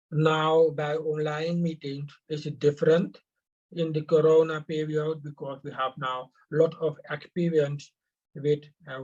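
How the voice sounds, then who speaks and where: A man answering interview questions in a halting way, with many fillers and restarts. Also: fairly high pitch, darkish tone. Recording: dull lo-fi recording.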